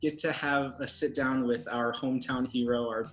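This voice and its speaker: A man in his thirties hosting an upbeat interview over a video call from home, eager and quick to laugh. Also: medium pitch, fairly even intonation, a bit bright, soft background music. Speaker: host. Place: home room. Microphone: video call, laptop camera. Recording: echoey room on a video call.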